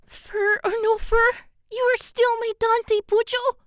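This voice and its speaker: A woman voicing a young succubus girl in an animated series, wistful and devoted, with a melodramatic, plaintive delivery. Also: very high-pitched, slow pace, no room sound, studio quality, exaggerated voice. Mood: heartbroken. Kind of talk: animated web series voice acting. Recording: music behind the voice.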